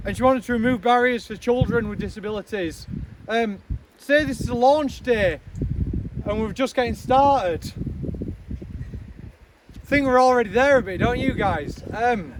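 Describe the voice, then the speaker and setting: A young man giving a loud, chatty speech outdoors at a charity launch, with many ums and joking asides. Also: extremely high pitch. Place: outdoors in the snow with a crowd. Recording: noisy outdoor recording.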